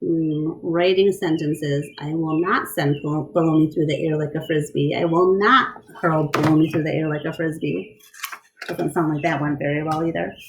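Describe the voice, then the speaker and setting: A woman reading a picture book aloud to children in an expressive style, pausing to question and explain things to her young listeners. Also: sits low, gravelly, background noise. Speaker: read-aloud storyteller.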